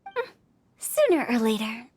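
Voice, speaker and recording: A woman's voice: a little high, huge pitch swings, a little slow, darkish tone, husky, no echo, exaggerated voice. A woman voicing a playful, quirky young funeral parlor director in a video game, with cheerfully morbid patter. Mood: breezy, teasing. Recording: music behind the voice. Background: game music.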